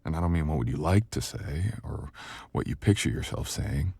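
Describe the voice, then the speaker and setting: Man playing a very deep-voiced, confident book editor. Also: lively intonation, creaky, husky, full character voice. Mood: teasing, encouraging.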